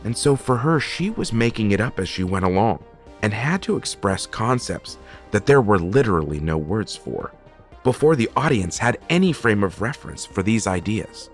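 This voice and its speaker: A man hosting an audio show with an earnest, deliberate scripted introduction. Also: lowish voice. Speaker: host and adapter of the show.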